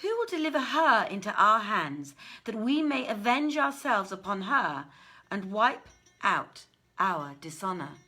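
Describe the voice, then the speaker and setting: A woman reading an old literary tale aloud in a theatrical, measured and deliberate manner. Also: mid-range voice, very animated, pushed and bright, soft background music. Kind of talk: dramatic literary story reading.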